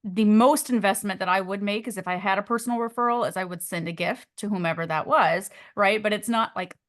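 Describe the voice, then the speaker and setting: A woman's voice: medium pitch, a little fast. A woman coach hosting a group video call, upbeat and encouraging, calling on participants.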